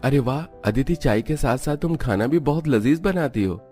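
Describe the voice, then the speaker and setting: An actor playing a kind, attentive young husband in a cartoon family story, speaking earnestly. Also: male voice, mid-range voice, animated, normal speed, darkish tone, soft background music, natural voice. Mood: appreciative.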